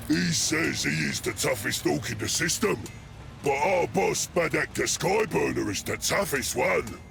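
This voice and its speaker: A man playing a gruff, bossy ork squadron leader in a video game, with a growling, gravelly Cockney English voice. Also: fairly high pitch, heavily acted. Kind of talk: video game character acting.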